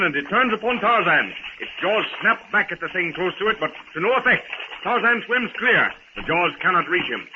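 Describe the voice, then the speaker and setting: A man giving dramatic scripted narration for a 1930s radio serial, urgent, suspenseful and declamatory. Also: high-pitched. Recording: muffled, noisy 1930s radio recording.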